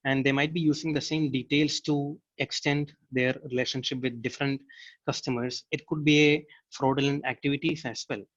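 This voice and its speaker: A man giving a step-by-step software demo as a technical presenter, explaining in a halting way. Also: medium pitch, fairly even intonation, dull audio.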